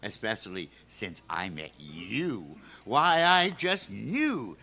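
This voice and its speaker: An actor playing a folksy, lovestruck old custodian in an old-time radio comedy. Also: male voice, on the low side, sing-song, slow pace, bright, forceful tone, heavily acted. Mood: smitten, insistent. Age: older. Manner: folksy, drawling rustic turns of phrase.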